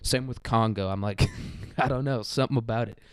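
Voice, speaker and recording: A young American man with a clear, smooth voice talks in a very dry-sounding space. He rambles through a casual comedic podcast monologue with a crude, irreverent edge. His pitch sits in an ordinary middle range for a man. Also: a little fast, no echo. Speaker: solo podcast host.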